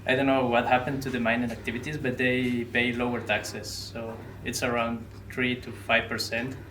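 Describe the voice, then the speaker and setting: A man's voice: mid-range voice, level tone, normal speed. Man from Bolivia, echoey distant room. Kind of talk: casual discussion. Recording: echoey room, mic far off, noisy.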